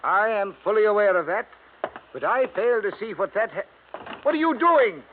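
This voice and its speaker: Stuffy high-pitched radio lawyer. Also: male voice, animated, normal speed, phone-quality sound. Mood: indignant. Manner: prim, formal, indignant. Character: stuffy, evasive, huffy male senior lawyer. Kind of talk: 1950s radio drama acting. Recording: thin old radio broadcast, faint noise.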